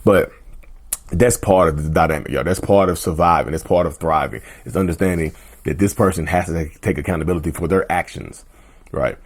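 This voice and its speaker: A man hosting a casual mental health vlog, talking straight to viewers in a loose, repetitive way, his voice going a little hoarse. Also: low-pitched, brisk. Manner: conversational, repeats himself, often addresses viewers as "y'all". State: voice getting hoarse. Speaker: mental health video host. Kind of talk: casual direct-to-camera talk.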